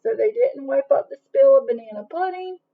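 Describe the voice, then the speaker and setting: A woman reading a children's picture book aloud expressively, pausing to put questions and asides to her young listeners. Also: high voice, sing-song, creaky, narrow, tinny audio. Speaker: read-aloud storyteller.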